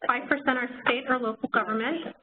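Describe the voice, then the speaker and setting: A woman on a webinar reading out poll results in an even, list-like way. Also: on the high side, fairly quick. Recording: tinny phone line, faint noise.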